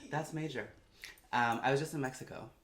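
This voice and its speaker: A man hosting a casual podcast, campy and loud, who swears a lot and swings between hushed and shouting. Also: medium pitch, a little fast, echoey room. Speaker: podcast host. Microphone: studio mic.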